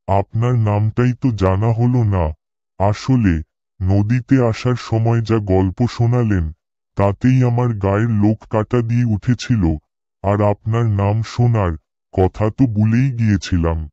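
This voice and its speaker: A man playing a polite, sceptical educated traveller in a Bengali cartoon, speaking in a measured way with a Bengali accent on a slightly dull recording. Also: on the low side, steady tone, mellow, no echo, natural voice. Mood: curious, uneasy.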